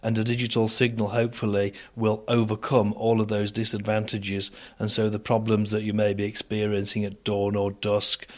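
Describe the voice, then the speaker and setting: A man with a lowish voice and very little melody answers radio interview questions. He explains technical matters in formal, measured sentences and articulates his points with care. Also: completely flat delivery, darkish tone. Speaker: interviewed expert on broadcast technology.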